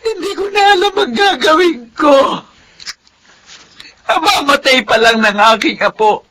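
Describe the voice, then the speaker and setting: A woman's voice: high-pitched, lively intonation, unhurried, a bit bright. A tearful, grief-stricken older mother. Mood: anguished wailing. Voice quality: tearful, wailing delivery. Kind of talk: melodramatic radio drama acting.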